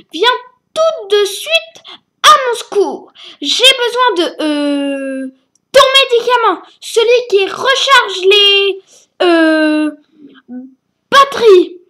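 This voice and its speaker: Casting: woman doing a shrill, rude witch with a cold, loud and giggly. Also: heavily acted.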